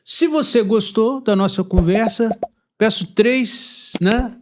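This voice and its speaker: A man with a Brazilian Portuguese accent hosting a podcast, chatty and animated, laughing easily. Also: sits high, slow pace, slight background noise. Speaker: podcast host. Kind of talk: casual chat.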